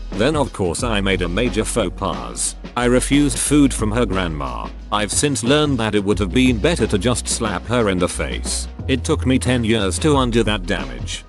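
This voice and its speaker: Lively low-voiced male story reader. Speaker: narrator reading posts. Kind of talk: scripted narration. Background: background music.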